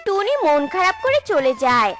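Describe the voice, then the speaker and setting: A woman narrating a children's cartoon in a calm, storytelling manner. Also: very high voice, normal speed, faint music behind.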